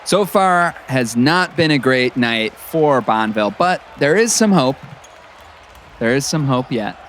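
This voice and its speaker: An actor playing an earnest small-town play-by-play announcer calling a basketball game in a measured announcer's manner. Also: male voice, medium pitch, expressive, a bit bright, a little hiss or hum. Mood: earnest concern. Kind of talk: basketball play-by-play in an audio drama.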